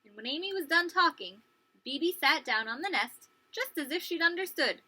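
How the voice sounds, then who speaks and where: A woman preschool teacher reading a story aloud to young children, expressive and pausing to ask them questions. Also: high-pitched, rough recording. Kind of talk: animated children's read-aloud with questions to listeners.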